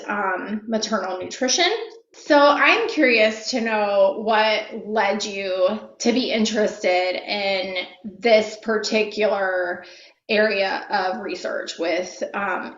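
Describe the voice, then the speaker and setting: A woman's voice: medium pitch, a bit bright. A woman hosting a podcast, introducing and interviewing a guest with frequent fillers. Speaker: podcast host. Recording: echoey, dull lo-fi audio.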